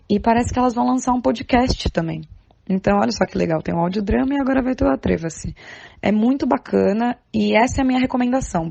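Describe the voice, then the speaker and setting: Brazilian woman with a creaky, mellow voice. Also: mid-range voice, no room sound. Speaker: podcast guest, theatre artist and art educator. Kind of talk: informal spoken recommendation.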